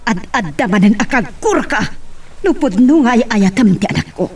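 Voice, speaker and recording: A woman acting a hostile, protective, sharp-tongued older mother in an Ilocano audio drama. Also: a little high, animated, normal speed, husky. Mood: scornful. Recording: voice distant from the microphone.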